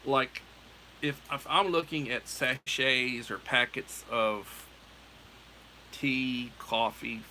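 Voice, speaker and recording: A man's voice: mid-range voice, fairly even intonation, slow pace, pushed and bright, a little hiss or hum. A middle-aged American man hosting a livestream, chatting in a meandering, self-correcting way. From United States. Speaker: livestream host. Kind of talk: casual rambling chat.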